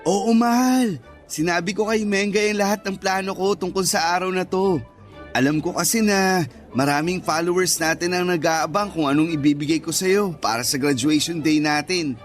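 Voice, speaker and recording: High-pitched, devoted young boyfriend played by a man. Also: moderate pace, close to an everyday voice. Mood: proud, happy. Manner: earnest, affectionate. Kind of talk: Tagalog radio romance drama acting. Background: faint music.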